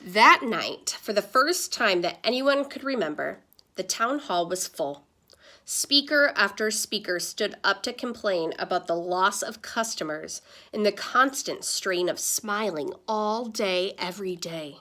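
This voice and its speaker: A woman reading a children's story aloud in the style of a teacher, with dramatic pauses and stressed words. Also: mid-range voice, lively intonation, pushed and bright.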